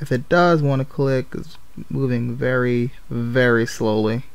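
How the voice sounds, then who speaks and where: A man's voice: medium pitch, fairly even intonation, a little slow, vocal fry, smooth. A man chatting casually as he livestreams a game, rambling and often repeating his phrases. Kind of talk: casual gaming stream chat.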